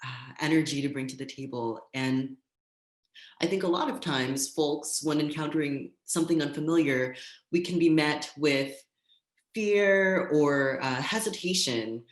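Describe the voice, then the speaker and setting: A woman's voice: sits low, unhurried, reverberant. A woman facilitating a live community event, speaking enthusiastically with many ums. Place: street corner outdoors.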